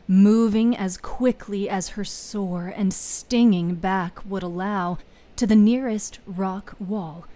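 A woman narrating a scripted fantasy story in a measured, dramatic way, with hushed phrase endings. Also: mid-range voice. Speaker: podcast host and storyteller.

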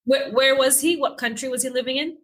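A woman's voice: high voice, fairly quick. A woman interviewing a guest, putting in brief questions and prompts.

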